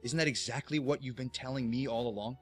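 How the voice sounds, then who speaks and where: A man's voice: medium pitch, fast, faint music behind, close to an everyday voice. An actor playing a defiant young man who talks with a sarcastic edge.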